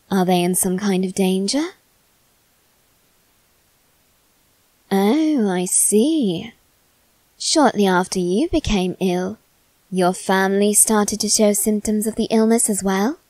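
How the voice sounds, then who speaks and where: Woman voicing a young male demon servant, teasing, haughty, mock-polite, theatrical, mid-pitched, dry close ASMR roleplay. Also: a little mellow, dry recording. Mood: curious, measured. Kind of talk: ASMR character roleplay addressed to the listener.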